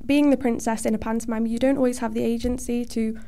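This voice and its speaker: Mellow, higher-pitched woman interviewee. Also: steady tone, fast talker. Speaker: drama student, performer, interview guest. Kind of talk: casual interview chat.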